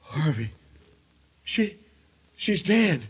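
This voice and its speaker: An actor playing an agitated, desperate husband in an old-time radio mystery. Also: male voice, on the high side, very animated, extremely slow, slightly rough, muffled recording, heavily acted. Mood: shocked, accusing. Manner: agitated, pleading, indignant. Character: panicky, desperate husband accused of killing his wife.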